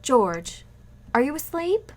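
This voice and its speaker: A woman's voice: high voice, sing-song, slow pace, over loud music, exaggerated voice. A woman playing a playful, scheming young wife in a 1940s-style radio sitcom, coaxing and teasing her husband.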